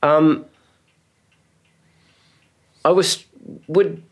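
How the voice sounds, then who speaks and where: A man's voice: on the high side, fairly even intonation, drawn-out words. A male host running a casual interview, who encourages his guest with frequent short acknowledgements.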